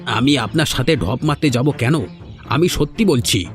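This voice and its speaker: A man acting as the village boss's henchman in a cartoon folk tale. He speaks at an average male pitch in an officious, aggrieved, self-justifying way, over faint music. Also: moderate pace, lightly acted. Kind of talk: Bengali animated folk-tale dialogue.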